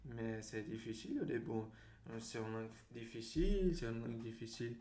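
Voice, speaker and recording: Male vlogger, Brazilian accent, native Portuguese speaker, lowish-to-middle pitch, halting unscripted delivery with repeats, echoey room with faint noise. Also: lively intonation, mellow. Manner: halting, repeats words and phrases. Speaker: language vlogger. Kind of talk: unscripted monologue to viewers. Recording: roomy echo, faint background noise.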